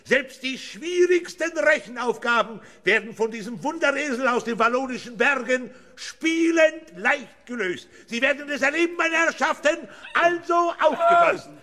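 An excitable circus announcer in a kids radio play, super high, swoops around, pompous showman patter. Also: moderate pace, few pauses, exaggerated voice. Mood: grandiose. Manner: grandiose, theatrical showman's patter. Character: excitable male circus ringmaster announcing grand attractions. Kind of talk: 1970s German children's radio play acting.

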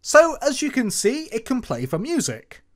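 Screencast tutorial presenter, informal and explanatory, very animated intonation. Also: mid-range voice, normal speed, soft background music. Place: voice-over for a screen recording.

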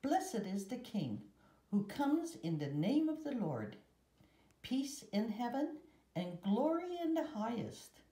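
A woman in her seventies reading a children's picture book and scripture aloud, carefully and in measured short phrases. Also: a little high, sing-song, dark tone. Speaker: guest story reader. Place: room at home. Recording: roomy echo.

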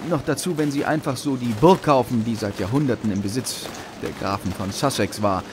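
A man's voice: medium pitch, a little fast, background noise, lightly acted. An actor playing an eager, deferential village policeman in a German radio drama, hesitant and polite.

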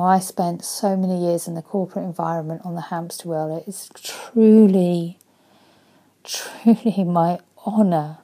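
A woman in her forties, a life coach, speaking earnestly and softly into a webcam in her living room, often dropping to a breathy near-whisper. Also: lowish voice. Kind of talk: motivational vlog talk.

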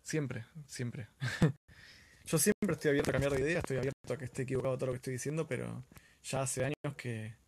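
An Argentine man hosts a live stream in a casual, earnest way. His voice has a middle pitch. He speaks informally and leans on repeated words to drive points home. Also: steady tone, fairly quick, noisy background. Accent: Argentine Spanish.

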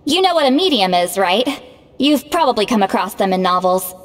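A woman playing a proud, youthful-sounding shaman in an anime game, lecturing in a brisk, exasperated manner. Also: medium pitch, very animated, close to an everyday voice. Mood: explaining. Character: proud, bossy, youthful-sounding female shaman called Granny. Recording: long reverb, music behind.

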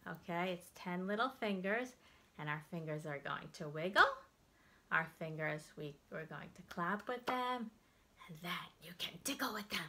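A middle-aged American woman hosting a children's storytime, reading aloud and explaining in an expressive, deliberate way for young listeners. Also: fairly low pitch. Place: indoors, plain-walled room.

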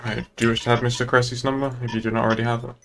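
A man's voice: medium pitch, level tone, rapid-fire, background noise. A man speaking formally and procedurally in improvised police roleplay dialogue. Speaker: roleplay player as police officer. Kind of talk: improvised in-character game dialogue.

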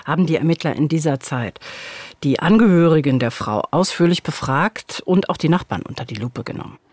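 A woman's voice: sits low, expressive, fairly quick, darkish tone, dry recording. A woman in her forties co-presenting a true-crime case in conversational, scripted narration on a studio microphone. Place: indoor radio studio. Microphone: studio boom microphone.

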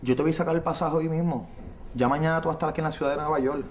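An actor playing an anxious man who must break bad news, calming a distraught woman. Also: a little high, reverberant, a little hiss or hum, close to an everyday voice. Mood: soothing. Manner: nervous, soothing, hurried. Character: anxious man who brought his friend to the city.

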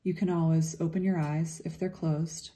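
A woman leading a guided meditation in a gentle, unhurried, soothing way, leaving long pauses between phrases. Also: low-pitched, level tone.

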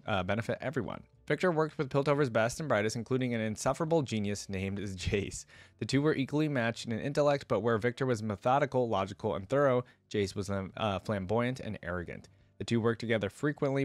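A man's voice: fairly low pitch, no room sound. Dry, close-miked young man reacting. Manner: conversational, musing, addresses viewers directly. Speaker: host of a gaming lore reaction channel. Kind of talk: casual reaction commentary mixed with reading aloud. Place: indoor room at a desk, gaming chair. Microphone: close desk microphone on a stand, headset worn.

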